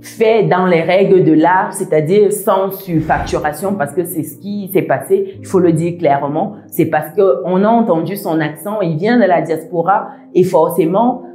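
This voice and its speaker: Woman vlogger with a diaspora accent, low and mellow, deliberate, hesitant pauses, echoey room. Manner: measured, methodical, many "euh" pauses. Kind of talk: explaining to camera.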